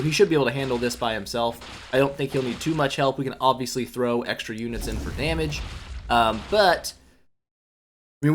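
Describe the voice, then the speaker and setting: A man's voice: medium pitch, slight background noise. Congested man chatting over gameplay. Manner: relaxed, rambling, many "um"s, self-mocking asides. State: congested from a cold. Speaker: gaming YouTuber. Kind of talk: casual gameplay commentary.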